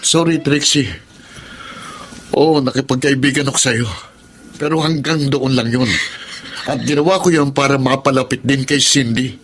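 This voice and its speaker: A man's voice: medium pitch, fairly even intonation, normal speed, pauses often, a bit bright, slight background noise, close to an everyday voice. A man playing a blunt young suitor in a Filipino radio drama. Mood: stern, blunt.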